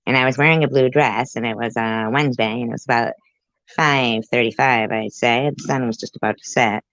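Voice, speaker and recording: A woman's voice: extremely low pitch, steady tone, fairly quick, fairly bright tone, dry recording, muffled recording. A woman reacting to a clip with dry, sarcastic asides. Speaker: commentary YouTuber.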